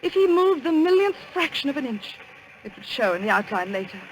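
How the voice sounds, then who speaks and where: Anxious, earnest young niece. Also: female voice, sits high, big pitch swings, a little fast. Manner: earnest, dramatic. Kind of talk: old-time radio drama acting. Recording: noisy old radio recording.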